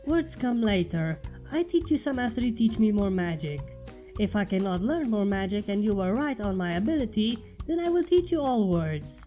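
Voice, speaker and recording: Brief: a reader playing a terse young mare from a storybook, clipped broken phrasing, mellow tone. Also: medium pitch, lively intonation, soft background music, lightly acted. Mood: firm. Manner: halting broken speech, terse and demanding. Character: blunt young female pony outsider.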